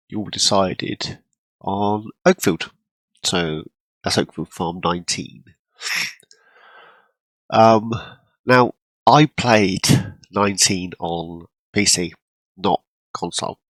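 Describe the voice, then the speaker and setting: A man hosting a gaming channel, congested from a cold and clearing his throat often, rambling casually through his gameplay. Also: low-pitched, fairly bright tone, dry recording, low-quality recording. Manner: rambling, self-deprecating, frequent throat clearing.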